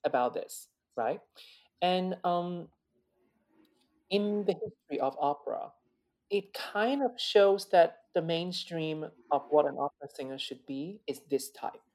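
A man's voice: lively intonation, measured pace, a little mellow. A man, a guest opera singer talking earnestly and reflectively in an interview, often restarting and repeating words. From China. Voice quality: high male voice. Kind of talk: interview conversation.

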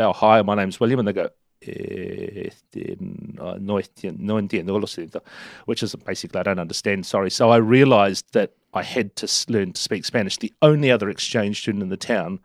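Middle-aged New Zealand man, low voice, dry close sound; easygoing storyteller who restarts a lot. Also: no room sound. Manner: chatty, self-deprecating, many restarts. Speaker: interview guest. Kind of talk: relaxed storytelling.

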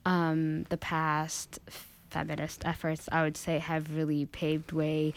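A woman from Ethiopia with a low voice and a gravelly, creaky edge. She talks in a thoughtful, measured way, and she hedges her points as a guest on a casual student podcast. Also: monotone. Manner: thoughtful, hedging with "I feel like". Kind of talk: casual panel discussion.

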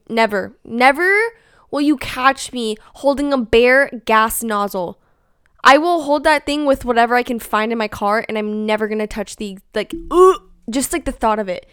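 A woman's voice: a little high, fairly bright tone, clear, resonant tone, no echo, studio quality. A young woman hosting a casual solo podcast, chatty and exasperated, with lots of likes and ums. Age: young adult. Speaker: podcast host.

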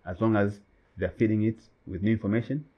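A man's voice: on the low side, fairly even intonation, fairly quick, muffled recording. A man whose first language is Swahili, co-hosting a casual chat and talking emphatically with many "you know"s. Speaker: co-host.

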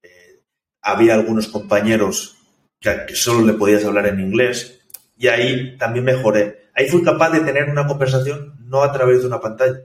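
A man, a software developer, talking casually in an interview, thoughtful and hesitant, with many restarts. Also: mid-range voice, fairly even intonation, fairly quick. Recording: echoey room, distant from mic.

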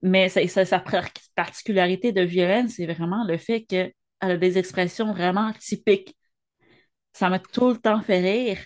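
A woman's voice: on the low side, steady tone, normal speed. A woman co-hosting a podcast, speaking earnestly in French with a Spanish tinge and stumbling over her first words. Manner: earnest, repeats words when starting sentences. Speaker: podcast co-host. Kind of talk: casual conversation.

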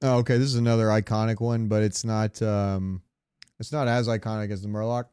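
A man's voice: fairly low pitch, flat delivery, a little fast, darkish tone, clear, resonant tone, no room sound, studio quality. A man chatting casually and crudely as a podcast co-host, swearing freely. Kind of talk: casual banter about game sounds.

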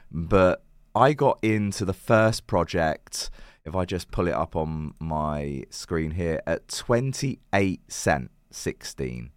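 A man with a very low voice, croaky and worn from a recent illness. He talks through crypto picks in a loose, chatty way, full of fillers and self-corrections. The sound is close and dry, with no room around it. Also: no echo. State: croaky, recovering lost voice. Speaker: crypto channel host. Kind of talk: casual to-camera crypto commentary.

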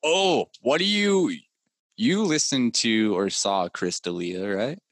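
A male podcast host talks in a bright, forceful voice, excitable and quick to swear. His sound is close and dry, with no room around it. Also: medium pitch, dry recording. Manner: excitable, swears a lot, says dude. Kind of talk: casual podcast chat.

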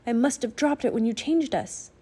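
A woman's voice: a little high, normal speed, warm tone, breathy edge. A woman voicing an earnest young boy who is nervous but trying to be brave. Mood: startled.

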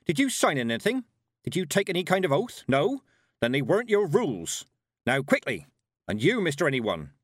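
Brief: a man as a bossy old witch woman, pitched up, loud and curt, dry close sound. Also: huge pitch swings, dry recording. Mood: commanding. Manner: brisk, bossy, no-nonsense. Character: stern old woman witch, sharp and commanding.

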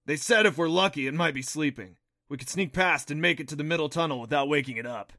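A man's voice: a little high, fast talker, pushed and bright, dry recording, clean studio sound. A man voicing a proud, impatient magical horned beast, explaining things emphatically in an audiobook. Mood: rousing. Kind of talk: audiobook character voice.

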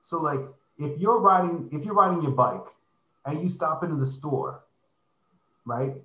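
A man in casual conversation, explaining things in a relaxed way, often adding "like" and "right?". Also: fairly high pitch, a little slow. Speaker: podcast guest living abroad. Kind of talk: casual chat. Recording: echoey room.

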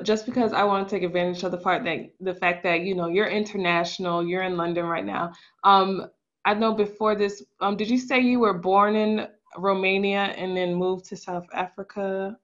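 A woman's voice: mid-range voice, highs cut off. A woman hosting a casual interview, chatty and affirming, asking questions with lots of "um" and "you know". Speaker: interviewer host.

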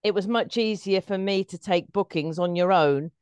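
A woman's voice: mid-range voice, normal speed, darkish tone, clean tone, no echo. A middle-aged woman, a stage performer telling anecdotes as an interview guest.

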